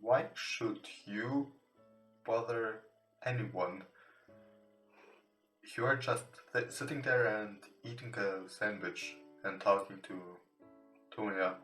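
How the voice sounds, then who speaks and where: A man who is not a native English speaker reads visual novel text aloud as a let's play host, haltingly and with stumbles over words. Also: fairly low pitch. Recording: roomy echo, distant from mic. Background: soft guitar game music.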